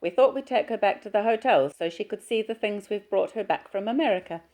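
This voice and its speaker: A woman reading aloud and voicing a small, bright mother, with a chirpy, gushing manner. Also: mid-range voice, a little fast, voice pushed up, natural voice.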